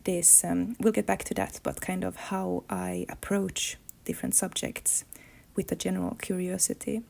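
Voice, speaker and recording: Gravelly, even-toned Finnish-woman. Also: on the low side, normal speed. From Finland. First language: Swedish. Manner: thoughtful, searching for words. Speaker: illustrator and comics artist. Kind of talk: reflective life-story monologue.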